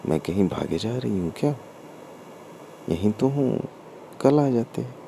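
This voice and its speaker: Man reading as a teasing young wife, gravelly. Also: medium pitch, expressive, slow, dark tone, slight background noise, pitched above the performer's own voice. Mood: teasing, chiding.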